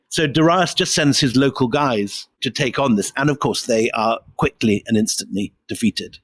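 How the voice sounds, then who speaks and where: A man's voice: mid-range voice, dry recording. A man co-hosting a history podcast, explaining events in an enthusiastic, conversational way.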